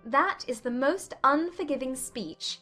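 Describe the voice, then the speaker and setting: A woman playing a playful, witty young gentlewoman in a dramatized period audiobook, arch and affectionately teasing. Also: high-pitched, expressive, measured pace, faint music behind, exaggerated voice.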